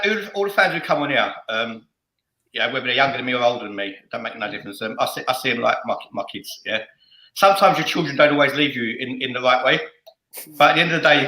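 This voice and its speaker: A man's voice: mid-range voice, sharp tone. A middle-aged man hosting a casual football fan chat, jokey and crude, often repeating words as he stumbles along. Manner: stammering repetitions, jokey, crude, sweary. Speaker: host of a football fan show. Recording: roomy, echoey sound.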